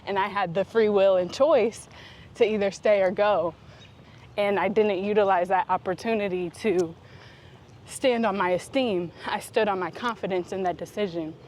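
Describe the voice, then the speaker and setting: Reflective woman over steady background noise. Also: mid-range voice. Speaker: vlog host. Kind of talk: reflective casual monologue.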